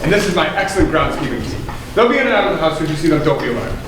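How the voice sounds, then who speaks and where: A man's voice: on the high side, big pitch swings, very fast. A young man playing a pompous, fussy millionaire art collector in an amateur stage comedy. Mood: genial host. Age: teens or young adult. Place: school theater stage. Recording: very echoey stage, distant mic, noisy.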